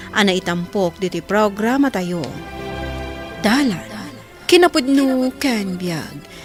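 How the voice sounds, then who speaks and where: A woman narrates a radio drama from a script. Her voice is medium in pitch, with light music behind it.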